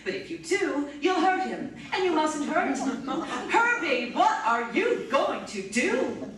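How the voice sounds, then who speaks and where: A woman's voice: high voice, sing-song, slow, crowd noise, heavily acted. A woman acting as a bitter, coldly relentless robot scientist in a radio drama, with intense, biting, dramatic delivery. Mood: relentless, cruel. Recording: echoey, distant, faint noise.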